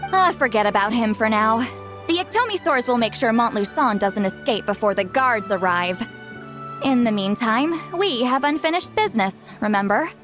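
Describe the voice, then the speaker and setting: A woman's voice: a little high, lively intonation, moderate pace, over music, lightly acted. A woman playing a self-assured young shaman in a video game, matter-of-fact and dryly wry, in a clean studio recording. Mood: explaining, assured.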